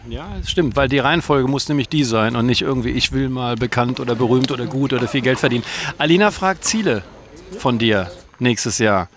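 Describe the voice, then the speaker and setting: A man's voice: medium pitch, fairly quick. A man hosting a casual sports interview, talking in a chatty, colloquial way. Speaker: host and interviewer.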